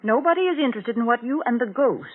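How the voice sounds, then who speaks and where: High, lively 1940s radio spokeswoman. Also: fast. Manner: prim, scolding, emphatic salesmanship. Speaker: sponsor's spokeswoman. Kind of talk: 1940s radio advertising banter. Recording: tinny, band-limited 1940s radio recording.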